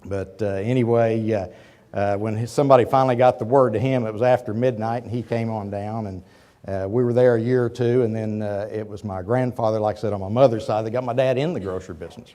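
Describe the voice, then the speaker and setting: Man with a cold, mellow folksy speaker. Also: fairly low pitch, fairly even intonation, fast talker. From Oklahoma. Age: 50s. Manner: rambling, conversational, frequent "okay?" asides. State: losing his voice from a cold. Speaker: guest lecturer, businessman. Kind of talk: folksy public lecture. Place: university auditorium.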